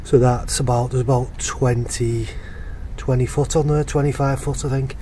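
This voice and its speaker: A man talks through a fishing rig in a relaxed, chatty way. His voice sits at an ordinary middle pitch. It is recorded outdoors on the camera's own mic, with faint outdoor noise in the background. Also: normal speed. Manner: relaxed, chatty, plain-spoken. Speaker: angler showing a fishing rig. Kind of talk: casual how-to vlog. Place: outdoors by a lake.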